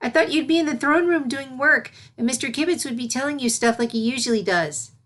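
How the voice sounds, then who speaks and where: Lady doing a little girl unicorn for a storybook, high voice, eager n curious, super polite pupil. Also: a little fast, fairly bright tone. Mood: curious, puzzled. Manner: childlike, inquisitive.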